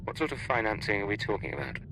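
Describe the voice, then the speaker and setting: A deep, gravelly, cool secret agent. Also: male voice, fast talker, noisy background. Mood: cool, dry. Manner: terse, dry, deadpan. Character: cool, dry male imperial secret agent. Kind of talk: video game voice acting.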